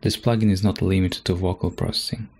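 A man narrating a software tutorial from a script, as a presenter explaining step by step. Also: low voice, fairly even intonation, a little fast, faint music behind.

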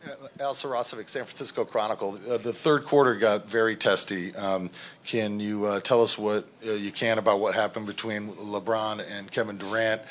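A man's voice: on the low side, fairly even intonation, moderate pace. A man who is a newspaper reporter, asking a hesitant question at a press conference.

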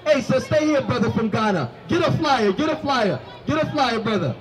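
A young man preaching on a city sidewalk into a handheld microphone, commanding and questioning his listeners, often rising to shouts. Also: very high voice, animated, moderate pace, crowd noise, poor audio. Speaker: street preacher. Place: city sidewalk by a food cart.

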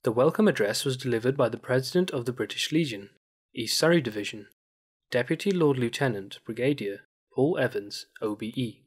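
A man narrating a scripted news report as a studio voice-over, in an even, measured way. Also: medium pitch, husky.

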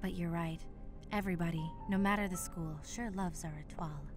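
A woman voicing a composed, teasing teenage girl who leads a student council in an anime fan dub, with formal, measured delivery. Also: lowish voice, fairly even intonation, natural voice. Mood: wry, composed. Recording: music under the dialogue.

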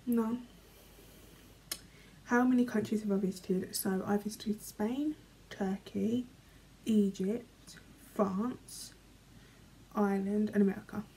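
Young British woman for a casual bedroom vlog, warm and mellow, rambling, trailing off softly, faint room hum. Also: mid-range voice, steady tone, slow pace, long pauses, low-quality recording. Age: young adult. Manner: chatty, filler-heavy, often trails off quietly. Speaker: vlogger. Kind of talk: casual chat, reading questions aloud. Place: small bedroom, indoors. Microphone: camera in front, medium framing.

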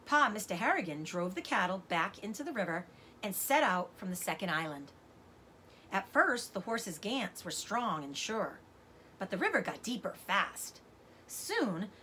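A middle-aged woman reading a children's novel aloud as a teacher does, with expressive narration. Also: medium pitch, normal speed, a little hiss or hum. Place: indoors, room with bookshelves.

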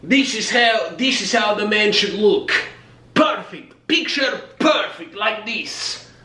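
A man's voice: sits high, expressive, slow pace, pushed and bright, full character voice. A man playing a vain, boastful Russian bodybuilder in a comic monologue, with a Russian accent. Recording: roomy kitchen, distant camera mic.

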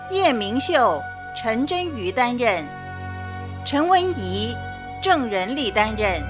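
A woman announcer formally reading scripted closing credits for a radio drama. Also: a little high. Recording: music behind the announcer.

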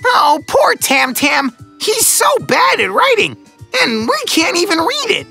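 An excitable little cartoon woodpecker, squeals in an extremely high, piercing voice that swoops wildly up and down. The delivery is theatrical and opinionated, stretching words for comic drama. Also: male voice, creaky, faint music behind, full character voice. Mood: dramatic, earnest. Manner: exclamatory, theatrical, drawn-out words. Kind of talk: children's animated series voice acting.

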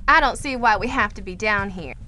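A woman's voice: mid-range voice, fairly quick, pushed and bright, faint music behind, lightly acted. An actress playing a petulant, naive, curious young woman in an audio drama, with a questioning whine.

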